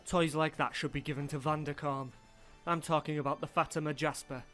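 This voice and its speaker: A man's voice: on the high side, fairly quick, soft background music. A man playing a cold, sardonic commander in his late twenties, curt and direct, in an audio drama. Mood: cool, demanding. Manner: calm, direct, curt, disdainful. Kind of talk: radio-play acting.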